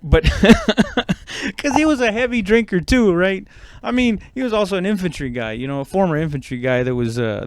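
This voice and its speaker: A man's voice: a little high, clean tone, dry recording, clean studio sound. A man telling casual, comedic stories as a podcast host, rambling along in slangy, profane talk.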